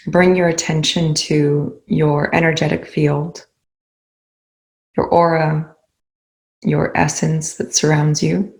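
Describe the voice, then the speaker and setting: Woman doing guided meditation, low voice, very even tone, slow phrases w lots of pauses. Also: low-quality recording.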